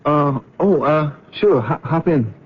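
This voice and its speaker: An actor playing an everyday New York cab driver in a 1970s radio drama, hesitant and stammering. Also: male voice, on the high side, expressive, slow, slight background noise. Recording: dull vintage radio broadcast.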